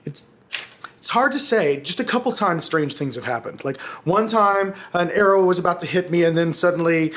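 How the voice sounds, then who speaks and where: A man playing a tabletop game, explaining things casually in a halting, tentative way. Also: sits high, fairly bright tone, a little hiss or hum.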